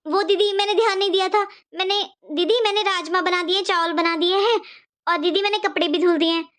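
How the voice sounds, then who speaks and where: A performer voicing a gentle, apologetic, unwell young pregnant woman in a cartoon moral story, speaking meekly. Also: very high-pitched, steady tone, fairly quick. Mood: meek, uneasy. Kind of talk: animated moral story dialogue.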